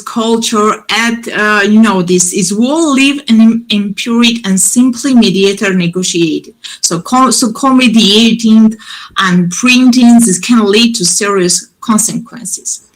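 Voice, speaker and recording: A woman's voice: mid-range voice, rough recording. Hesitant woman giving a conference talk. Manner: halting, with frequent restarts and fillers. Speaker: conference speaker.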